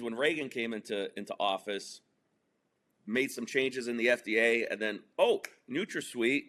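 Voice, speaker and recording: A man's voice: mid-range voice, fairly bright tone. A man from New Jersey whose first language is Spanish, telling his life story as a guest in a casual interview, chatty and full of fillers, laughing at his own jokes. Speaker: podcast guest.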